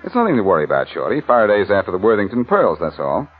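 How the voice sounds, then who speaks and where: Through a thin, tinny old radio recording comes a cocky American amateur sleuth. His low voice swings playfully in pitch, glib and wisecracking in classic radio drama style. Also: wildly swooping pitch, fast talker, background noise. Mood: breezy unworried. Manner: glib, flippant, teasing. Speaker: radio actor. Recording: muffled, narrow-band old radio recording.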